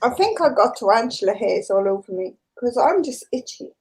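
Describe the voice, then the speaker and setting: A woman's voice: a little high, sing-song, brisk, a little mellow. A woman with a Welsh accent, chatting and teasing the others on a live group video call. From Wales. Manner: cheeky, teasing banter. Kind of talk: casual group chat.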